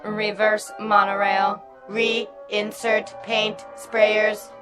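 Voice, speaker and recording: An actress playing an emotionless, obedient factory computer in a radio drama, flat and mechanical. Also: female voice, medium pitch, extremely slow. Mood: impassive. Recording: radio drama with music underneath.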